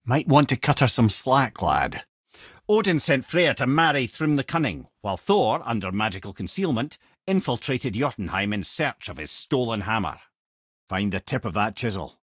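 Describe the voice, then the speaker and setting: A garrulous old Scottish sage from a video game. His voice is husky and swings widely in pitch, close and dry. He talks with wry, eloquent wit, like a born storyteller. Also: big pitch swings, moderate pace, fairly bright tone, dry recording. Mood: solemn storytelling. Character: witty, garrulous, wise severed-head companion, older man.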